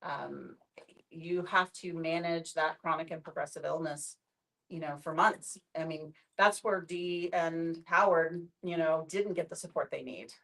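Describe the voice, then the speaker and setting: Woman, deep register with plenty of vocal fry, conversational and slightly halting as she explains. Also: steady tone, fairly bright tone, low-quality recording. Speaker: palliative care professional. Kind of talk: explaining to a discussion group.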